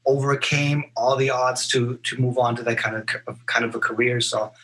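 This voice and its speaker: A man's voice: medium pitch, steady tone, fairly quick, fairly bright tone. A middle-aged man chatting thoughtfully as a podcast guest, joining remotely over a laptop. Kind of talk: casual interview chat. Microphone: laptop on a remote call. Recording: noisy laptop call with clicks.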